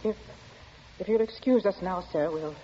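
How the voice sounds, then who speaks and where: Woman playing anxious low-voiced landlady, old radio. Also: normal speed, lightly acted. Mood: flustered, deferential. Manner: hesitant, apologetic, worried. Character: anxious, deferential landlady and protective stepmother. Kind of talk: 1940s radio acting. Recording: dull, noisy 1940s radio recording.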